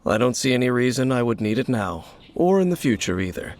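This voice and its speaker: A man voicing a calm, guarded, cynical male wanderer in a video game, measured and wry. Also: medium pitch, lightly acted. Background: game music.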